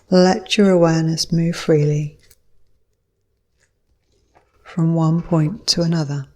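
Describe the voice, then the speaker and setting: Woman, yoga nidra instructor, lowish pitch, very unhurried delivery, short measured phrases, pauses, guided meditation. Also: warm tone.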